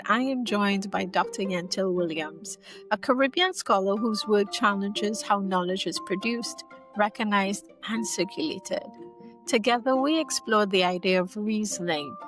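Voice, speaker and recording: A woman from Trinidad hosting a podcast, speaking in a measured, earnest way. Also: mid-range voice, fairly bright tone, faint music behind. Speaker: podcast host. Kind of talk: scripted introduction then casual conversation.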